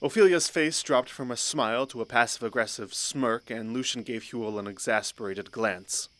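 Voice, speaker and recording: A man's voice: medium pitch, normal speed, a bit bright, dry recording. A man narrating a scripted fantasy story. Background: faint background music.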